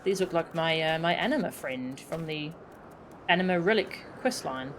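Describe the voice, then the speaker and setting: A woman's voice: sits low, lively intonation, normal speed, background noise. A woman streaming a video game with casual, chatty commentary. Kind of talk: casual game commentary, reading dialogue aloud. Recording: game music behind the voice. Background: video game music.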